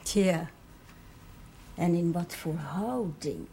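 Slow sly gossip, lowish voice, hushed, faint hiss. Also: female voice. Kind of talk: 1970s television drama acting.

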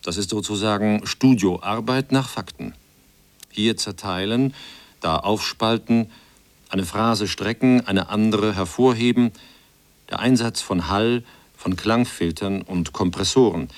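A man's voice: on the low side, a little slow, dry recording. A man reading quotations from a script for a radio feature. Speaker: reader of translated quotations.

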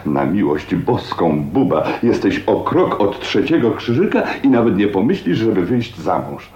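Radio play uncle: elderly, teasing, hesitant and exclamatory, very animated pitch, roomy noisy recording. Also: male voice, normal speed, highs cut off. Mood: teasing, jovial. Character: jovial teasing old uncle with stale jokes. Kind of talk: radio drama acting.

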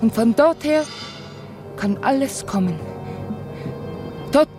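An actress playing an enigmatic, fearful, visionary woman in a literary radio drama, dreamy and halting, full of questions. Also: a little high, lively intonation, over music. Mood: fearful, agitated.

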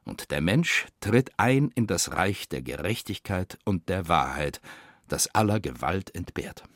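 An actor playing a weary, bitter novelist, reading in a deliberate, brooding literary radio-play manner with theatrical pauses. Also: male voice, low-pitched, animated, a bit bright, no room sound, studio quality, heavily acted. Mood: measured, grave.

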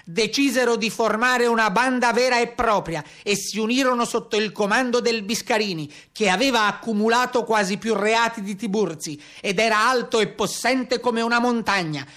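A man's voice: very high voice, moderate pace, hardly any pauses, bright, forceful tone, voice pushed up. A man voicing a solemn carabiniere who recounts a brigand hunt, formal and declaiming, in theatrical narration. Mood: solemn reporting.

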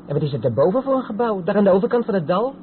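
A man's voice: high-pitched, very animated, very fast. An actor playing a curious, eager young male traveller in a radio play. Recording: tinny noisy old radio play recording.

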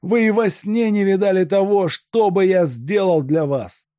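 A man's voice: high-pitched, measured pace, mellow, no room sound, studio quality, voice pushed up. A man reading an audiobook and voicing a captured male robber who begs and threatens in a strained, raised voice. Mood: threatening. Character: desperate captured male robber, pleading then menacing. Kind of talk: audiobook character voice.

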